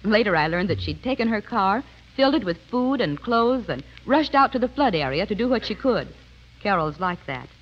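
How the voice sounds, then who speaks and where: A woman's voice: medium pitch, very animated, moderate pace. Young woman on dull old radio. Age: young adult. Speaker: interview guest, personal business manager. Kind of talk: scripted 1930s radio interview.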